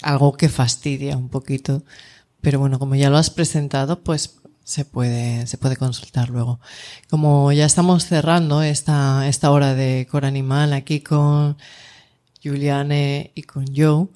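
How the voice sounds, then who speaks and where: A woman's voice: very deep voice, steady tone, a little slow, mellow. A woman hosting a community radio show, chatting casually with guests, laughing often and restarting her phrases.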